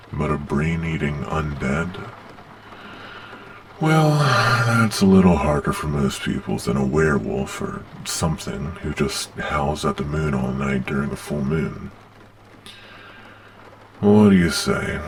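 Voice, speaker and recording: An extremely deep-voiced male actor as a government-style monster agent for an ASMR roleplay. He is weary, stern and businesslike, taking his time over each phrase, with faint noise behind him. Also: vocal fry, heavily acted.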